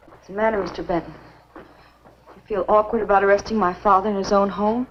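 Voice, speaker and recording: A woman's voice: medium pitch, fairly even intonation, fairly quick, slight background noise, natural voice. A woman acting a doctor's dutiful grown daughter in a 1950s B-movie. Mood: concerned, polite. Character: doctor's dutiful adult daughter in a horror film. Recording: dull old film soundtrack with music.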